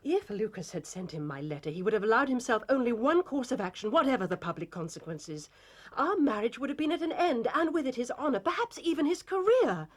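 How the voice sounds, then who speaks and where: A titled victorian lady, proud but distraught, intense formal speech, pitch moving all over. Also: big pitch swings, fairly quick. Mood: desperate. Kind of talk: period drama acting.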